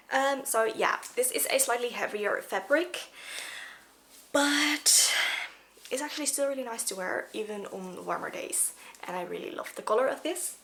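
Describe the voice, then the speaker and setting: A woman's voice: mid-range voice, a bit bright. A young woman with a sore, fading voice talks casually to the camera in a small plain room. She chats loosely with frequent fillers and asides, and a faint noise sits under her voice. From Netherlands. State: losing her voice, sore throat. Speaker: fashion vlogger. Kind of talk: casual wardrobe walkthrough.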